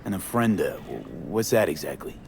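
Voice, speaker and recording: Very deep-voiced subdued mercenary. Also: male voice, big pitch swings, a little fast, gravelly, darkish tone, slight background noise, exaggerated voice. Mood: subdued, sombre. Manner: low-key, clipped sentences dropping first words. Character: guarded young male street mercenary, earnest, subdued, grieving friend. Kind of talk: cinematic video game acting.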